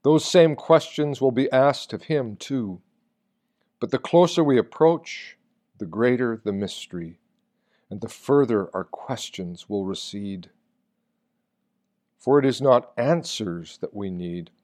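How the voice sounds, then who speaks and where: A man reading a reflective sermon from a text in a solemn, deliberate manner, posing rhetorical questions. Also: medium pitch, expressive, warm tone. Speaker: reader of a Lenten meditation.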